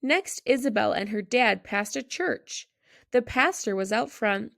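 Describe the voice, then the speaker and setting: A woman narrating a children's storybook in an expressive read-aloud style. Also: fairly high pitch, a little slow, creaky, no echo, clean studio sound.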